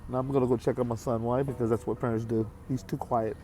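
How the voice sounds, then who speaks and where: Late-fifties man, vlogger, heartfelt and halting, mid-pitched voice, faint background noise. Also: flat delivery, mellow. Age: 50s. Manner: halting, heartfelt, repeats himself with many fillers. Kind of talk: candid talk to camera.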